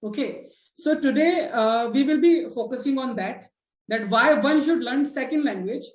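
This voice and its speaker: A woman with an Indian English accent hosts a casual discussion, her voice sitting a little high. She talks chattily, with lots of fillers and restarts, and keeps checking in with her guests. The room has a clear, echoey ring. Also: moderate pace. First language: Hindi. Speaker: host of a spoken English discussion.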